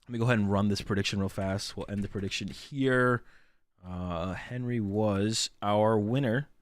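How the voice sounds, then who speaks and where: Low-voiced young man streaming while sick. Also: normal speed, no room sound. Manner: relaxed, rambling, repeats himself. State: sick, voice worn. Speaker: streamer hosting a card game show match. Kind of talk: casual stream chat.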